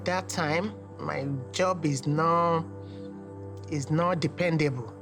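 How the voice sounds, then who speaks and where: A woman's voice: low-pitched, slow pace, music in the background. A middle-aged woman with a Nigerian accent telling her personal story in an interview. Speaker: interviewee, mother of an athlete.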